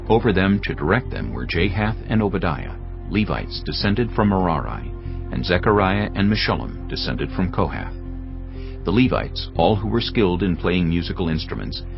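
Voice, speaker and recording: A man narrating scripture as a scripted drama, in a solemn, measured and expressive way. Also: low voice, vocal fry. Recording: dull recording with music underneath.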